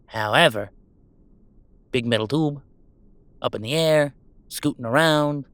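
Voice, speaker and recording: A man's voice: mid-range voice, a little slow, fairly bright tone, no room sound, natural voice. A man playing a chatty, affectionate, well-off gamer in a roleplay audio drama, talking casually and reassuringly in a rambling, wry way. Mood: nervous, wry. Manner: casual, rambling, self-interrupting, wryly reassuring. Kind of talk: intimate roleplay audio acting, one-sided conversation.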